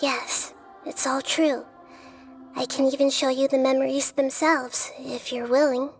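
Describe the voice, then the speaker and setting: A woman voicing a gentle, wise young goddess in a video game, speaking in a measured, deliberate, philosophical way. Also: high-pitched, little pitch movement, soft background music. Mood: calm, guiding.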